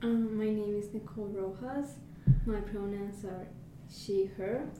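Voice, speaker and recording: A woman's voice: mid-range voice, fairly even intonation, measured pace. Young woman from Bolivia, distant and echoey. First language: Spanish. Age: young adult. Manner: eager, rambling with many fillers. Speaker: student co-host. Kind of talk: casual podcast chat. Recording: distant mic, echoey room, noise.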